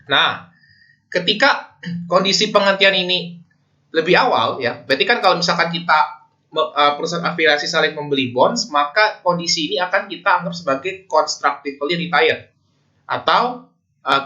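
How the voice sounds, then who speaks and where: A man's voice: high voice, sharp tone, dull audio. A man with an Indonesian accent giving an informal explanatory lecture as a teacher, in a conversational way that often checks in with listeners. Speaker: accounting lecturer.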